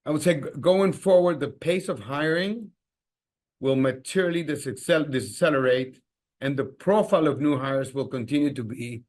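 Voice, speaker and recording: Halting male executive explaining results. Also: on the high side, a little mellow. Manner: halting, repeats words. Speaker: company executive. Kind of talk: earnings call answers, explanatory.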